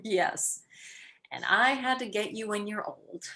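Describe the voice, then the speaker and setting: A woman's voice: mid-range voice, expressive, takes it slowly, vocal fry, sharp tone, full character voice. A woman playing a clever, arch young socialite wife in a vintage-style radio play. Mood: playful teasing.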